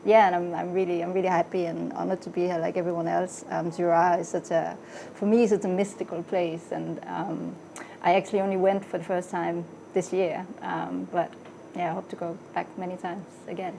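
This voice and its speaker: A woman's voice: lowish voice, flat delivery, fairly quick, creaky, slight background noise, poor audio. A woman in her forties from Denmark, a restaurant wine buyer, introducing herself on a podcast in a hesitant way full of ums. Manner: hesitant, many ums, laughs softly.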